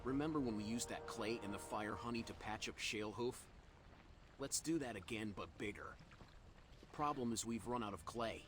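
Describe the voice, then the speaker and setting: A man's voice: on the low side, normal speed, over music, natural voice. A man voicing a folksy, wry elemental healer who gives out quests in a fantasy game, chatty and teasing. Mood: concerned, upbeat.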